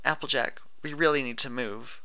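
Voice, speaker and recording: A man's voice: medium pitch, steady tone, normal speed, pushed and bright, clean tone, voice pushed up. A man voicing a timid, anxious young female pegasus pony for an audiobook story. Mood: pleading, urgent. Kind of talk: audiobook character voice.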